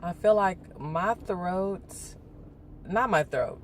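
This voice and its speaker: Chatty woman with a low morning voice. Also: expressive, slow, slight background noise. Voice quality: deeper morning voice. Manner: chatty and reflective, laughs often, rambles. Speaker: vlogger. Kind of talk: casual morning talk. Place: indoors, talking to her phone. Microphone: phone.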